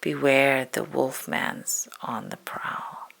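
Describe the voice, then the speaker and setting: A woman reading a spooky children's poem aloud in a dramatic, hushed storytelling manner, stretching words and dropping into whispers. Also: a bass voice, little pitch movement, slow pace, gravelly. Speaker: storybook reader.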